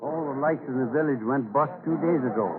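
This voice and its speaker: Actor as a folksy neighbour, narrow radio sound. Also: male voice, medium pitch, brisk, close to an everyday voice. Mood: matter-of-fact. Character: laconic, practical rural neighbour. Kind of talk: 1940s radio acting. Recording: narrow old radio, crowd behind.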